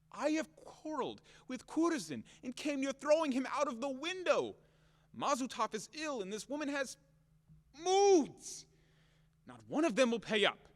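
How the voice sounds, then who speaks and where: Casting: man acting blustery, exasperated landowner for stage farce, highish voice leaping around, reverberant hall sound. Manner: theatrical, exasperated ranting and grumbling. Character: blustering, furious, self-pitying male landowner demanding his money. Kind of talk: stage comedy acting in an audio play.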